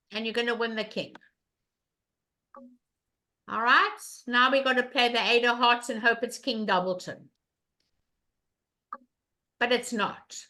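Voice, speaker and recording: An older woman with a loud voice teaching an online bridge class, emphatic and repeating questions to her students over a webcam. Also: fairly high pitch, low-quality recording.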